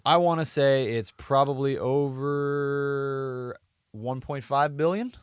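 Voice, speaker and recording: A man's voice: medium pitch, slow pace, smooth, no room sound, studio quality. An American man, around his thirties, chatting casually as a podcast co-host and rambling as he trails off mid-thought. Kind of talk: casual chat.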